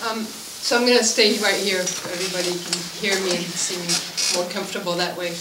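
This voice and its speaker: A woman's voice: lowish voice, steady tone, moderate pace. Echoey, distant Swiss-born French-speaking woman teacher. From Switzerland. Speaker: English language teacher. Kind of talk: informal self-introduction at a public meeting. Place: school room at a committee meeting, indoors. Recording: echoey room, distant mic, background noise.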